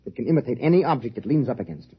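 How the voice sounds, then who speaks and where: An intense scientist in vintage radio science fiction. He explains insistently in a rough-edged voice of medium pitch. The recording sounds muffled and old. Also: animated, very fast. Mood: insistent, grave. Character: intense, urgent male scientist reasoning out a threat. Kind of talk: 1950s radio drama acting. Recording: dull 1950s radio recording.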